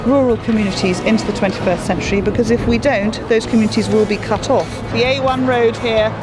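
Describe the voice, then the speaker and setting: A woman who is a parliamentary candidate, speaking forcefully and emphatically in a campaign video, recorded outdoors. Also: a little high, fairly quick. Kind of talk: campaign video, addressing camera. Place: outdoors, village and town. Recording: music bed behind.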